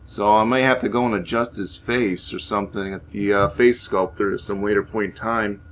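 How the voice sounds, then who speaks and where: A man's voice: lowish voice, fairly even intonation, moderate pace, a bit bright, a little hiss or hum. A man hosting a gaming series, talking informally as he explains his roleplay playthrough and tells its story. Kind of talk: roleplay let's play commentary with story narration.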